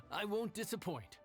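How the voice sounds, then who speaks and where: An actor playing a polite, modest young male inn chef in a game, speaking earnestly. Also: high voice.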